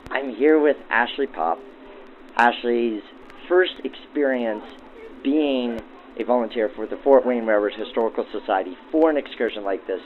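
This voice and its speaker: A man's voice: medium pitch, moderate pace, narrow, tinny audio, slight background noise. A man hosting in the style of 1940s radio, narrating and interviewing through a vintage radio filter. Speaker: host and interviewer. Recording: thin old-time radio sound, music behind.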